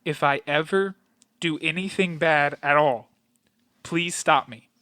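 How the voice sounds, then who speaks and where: Bright-voiced, guarded young man played by an actor. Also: on the high side, a little slow, clear, resonant tone, dry recording. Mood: pleading. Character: troubled young man, childhood friend, guarded, wry, sad. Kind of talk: audio play acting.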